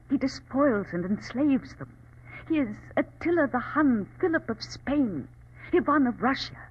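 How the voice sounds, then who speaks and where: An actress playing a proud, impassioned Chinese woman revolutionary in an old radio drama, formal and imperious, with a husky voice. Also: sits high, full character voice. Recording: muffled old radio recording.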